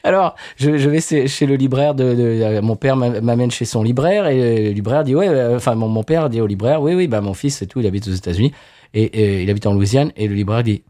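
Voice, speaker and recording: A man from France co-hosting a casual podcast chat, telling stories in an animated way and acting out other people's lines. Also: medium pitch, a little fast. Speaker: podcast co-host. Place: lives in Louisiana.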